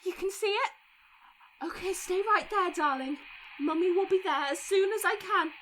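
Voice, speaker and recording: A woman's voice: very high-pitched, normal speed, a bit bright, slight background noise, poor audio. A woman playing a distraught, protective young mother in an audio drama, emotional and pleading. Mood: soothing, pleading.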